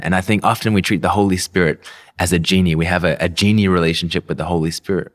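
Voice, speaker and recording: A young man with an Australian accent giving an earnest devotional sermon, telling a personal story. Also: low-pitched. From Melbourne, Australia. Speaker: pastor.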